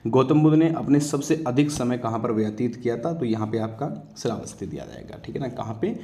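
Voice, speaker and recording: A man's voice: medium pitch, expressive, fairly quick, dark tone. A man lecturing as an exam-coaching teacher, going point by point in an instructive, familiar way with frequent check-in tags.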